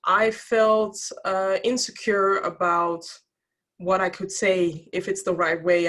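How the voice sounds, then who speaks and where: This is a woman in her thirties whose first language is Dutch. She talks on a video call in a fairly low voice. Her delivery is earnest and reflective, with frequent restarts. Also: a bit bright. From Netherlands. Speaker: guest speaker. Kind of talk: casual interview over video call.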